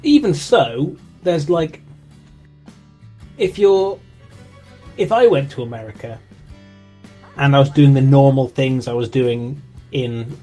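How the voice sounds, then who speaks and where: A man's voice: mid-range voice, takes it slowly, long pauses, mellow, soft background music. A young man with an English accent, chatting casually while co-hosting a game stream and greeting viewers in chat. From England. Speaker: co-host streamer.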